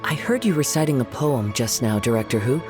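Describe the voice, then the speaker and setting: A man playing a calm, courteous young wandering poet with a soft-spoken, modest manner in a video game. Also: mid-range voice, brisk, lightly acted. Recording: music playing behind the voice.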